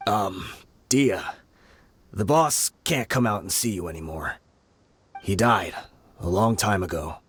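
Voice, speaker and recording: A calm, weary gang veteran. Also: male voice, deep voice, takes it slowly, long pauses, husky, full character voice. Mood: solemn. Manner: calm, explanatory. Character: weary older male gang member, explaining calmly.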